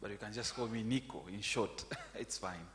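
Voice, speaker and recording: A man's voice: on the low side, normal speed, a bit bright. A man from Tanzania giving a friendly academic panel talk, hesitant with fillers. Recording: echoey hall with faint hum.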